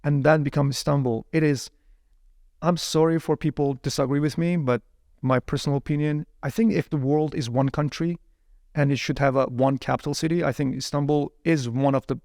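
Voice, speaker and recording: A man whose first language is Chinese speaks as an interview guest, slightly higher-pitched than most men, with a gravelly texture. He tells his story casually and laughs often along the way. The recording is dry and close. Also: fairly quick, no echo. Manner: lively, laughs often, many "you know" fillers.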